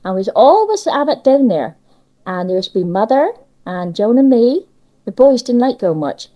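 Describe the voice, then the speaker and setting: A woman's voice: a little high, sing-song, normal speed, muffled recording. An older woman from Cornwall with a Cornish accent, chatting casually about her memories in dialect with lots of tag questions. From Cornwall, England. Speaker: interviewee sharing local memories. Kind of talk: casual reminiscing chat.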